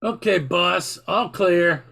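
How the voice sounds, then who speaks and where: A woman playing a dim, eager, loyal male gangster henchman in a radio drama, with a goofy, slow-witted delivery. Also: fairly low pitch, gravelly, a bit bright, husky, exaggerated voice. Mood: businesslike. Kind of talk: amateur old-time radio drama acting.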